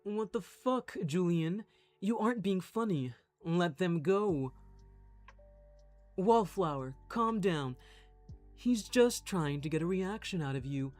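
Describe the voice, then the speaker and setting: A man performs a commanding yandere bad boy in a dramatic audio roleplay. He is foul-mouthed, possessive and aggressive, drawing his words out slowly. Music plays behind him. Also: sits high, animated, a little mellow, dry recording. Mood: alarmed, threatening.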